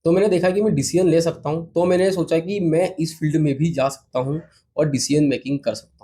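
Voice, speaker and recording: A man's voice: on the high side, fairly even intonation, a little fast, mellow. A man from India with an Indian accent, answering interview questions in a polite, explanatory, conversational way. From Indore, India. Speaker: interview guest, entrepreneur. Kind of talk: conversational interview answers mixing Hindi and English.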